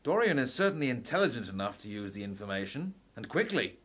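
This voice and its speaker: A man playing an aging, protective Victorian professor in a radio drama, measured and authoritative. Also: medium pitch, sing-song, a bit bright. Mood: cautious. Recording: roomy, set back from the mic.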